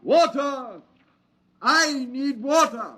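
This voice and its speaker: A higher-pitched man's voice, slow and earnest, belonging to a thirsty wanderer. He speaks solemnly, with idealistic calm, in an old radio play. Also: full character voice. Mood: pleading. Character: weary man wandering the desert, calm and idealistic. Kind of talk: 1950s radio drama acting.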